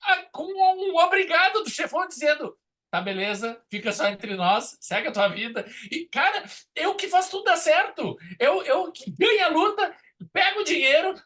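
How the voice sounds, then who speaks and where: A man's voice: very high-pitched, big pitch swings, moderate pace, sharp tone. Emphatic southern Brazilian man chatting film. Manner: emphatic, colloquial storytelling. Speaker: film podcast host. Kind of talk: casual, opinionated film discussion.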